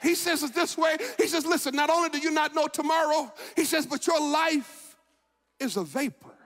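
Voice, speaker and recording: A man in his forties preaching a sermon as pastor, shifting from loud shouting to quiet reflection and repeating phrases for emphasis. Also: very high-pitched, normal speed. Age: 40s. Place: large church sanctuary. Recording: long reverb of a large church.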